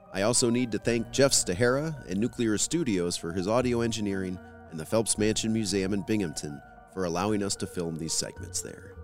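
A man hosting a podcast, reading a scripted outro of credits and thanks. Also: on the low side. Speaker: podcast host.

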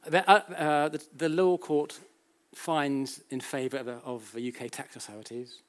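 British man lecturing, halting and conversational. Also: mid-range voice, steady tone. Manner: halting, self-correcting, with frequent repetitions; conversational, checks in with rhetorical questions. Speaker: academic lecturer. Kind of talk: public lecture, explanatory and anecdotal.

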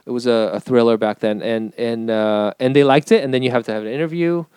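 A middle-aged man from Peru, a film director talking casually in an interview, halting with many restarts and fillers. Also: medium pitch, darkish tone, smooth, no room sound, studio quality.

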